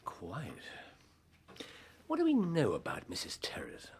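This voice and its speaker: An actor playing a brilliant Victorian detective who questions sharply and teasingly in a radio play. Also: male voice, a little high, huge pitch swings, measured pace, a little hiss or hum. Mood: dry, curious. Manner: incisive, teasing, probing. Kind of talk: radio drama acting.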